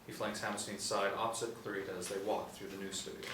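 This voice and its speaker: Low-voiced man narrating, roomy and distant. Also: flat delivery, fast talker, a bit bright. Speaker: narrator reading stage directions. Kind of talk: scripted table reading, narrating screenplay. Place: small indoor room. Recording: roomy, distant mic, light room noise.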